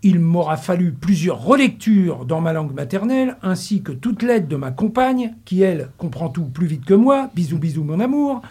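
A middle-aged man with a husky, high-set voice reads a playful radio column in the studio. He lingers on puns and slips into mock-solemn asides. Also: sing-song, normal speed, few pauses, dark tone. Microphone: studio mic.

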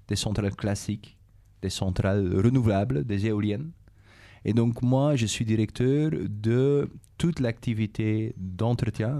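A man's voice: fairly low pitch, warm tone, dry recording. A middle-aged Belgian man, a native Dutch speaker with a Flemish accent, patiently explaining his work to schoolchildren and often rephrasing as he goes. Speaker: company director, interview guest.

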